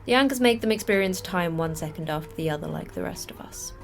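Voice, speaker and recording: Low-voiced, wry woman station manager. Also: animated, fast talker, gravelly. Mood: deadpan. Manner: dry, matter-of-fact, sardonic. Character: jaded, terse woman station manager, wry old hand. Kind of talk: audio fiction podcast acting.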